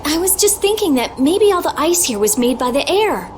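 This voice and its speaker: High-pitched earnest young girl. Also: sing-song, normal speed, a little mellow, a little hiss or hum. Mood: thoughtful. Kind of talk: English video game dub acting.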